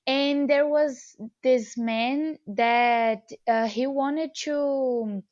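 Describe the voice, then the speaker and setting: A woman's voice: high voice, fairly even intonation, extremely slow, darkish tone, dull audio. A woman, a student guest telling a travel story in English. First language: Portuguese. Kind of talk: casual storytelling.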